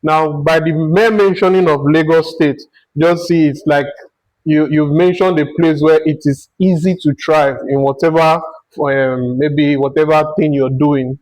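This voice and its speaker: Higher-pitched Nigerian man hosting a conversation. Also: fairly even intonation, measured pace, darkish tone, no echo, low-quality recording. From Akwa Ibom, Nigeria. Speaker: interview host. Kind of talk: conversational interview.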